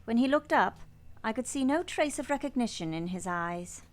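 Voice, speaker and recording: Woman acting young governess in a radio drama, high voice, measured n formal, quietly steadfast. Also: animated, lightly acted. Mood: reflective, measured. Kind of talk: first-person narration and dialogue in radio play.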